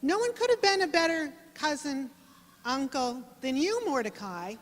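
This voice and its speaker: A dutiful, meek young woman of 1940s radio drama, played by an actress. Her high voice swings sweetly up and down and echoes in a hall. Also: sing-song, normal speed. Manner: meek, obedient replies.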